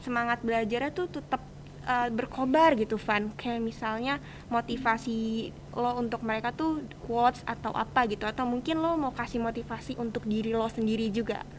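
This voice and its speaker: A teenage girl with a Jakarta accent and a high voice chats as a podcast host. She speaks colloquially and encouragingly, asking questions like a friend. A faint layer of ambient noise sits behind her. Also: moderate pace. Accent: Jakarta Indonesian. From Indonesia. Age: teens, high school student. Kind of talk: casual chat, interviewing.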